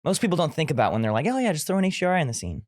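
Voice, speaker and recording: A man's voice: on the high side, very animated, racing, dry recording. Man explaining tech, dry close mic. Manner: technical, rambling explainer with restarts. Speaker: podcast co-host. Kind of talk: casual studio chat.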